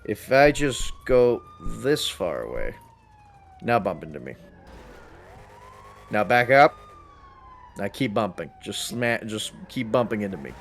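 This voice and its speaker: A man's voice: lowish voice, very animated, a little slow, creaky, long pauses. A talkative man chatting casually as he plays a video game, explaining things at length and swearing freely. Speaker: gamer in a role-play server. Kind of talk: casual chat while gaming.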